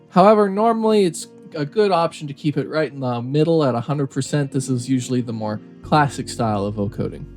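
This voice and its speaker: A man presenting a software tutorial, explaining things step by step in a casual way. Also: medium pitch.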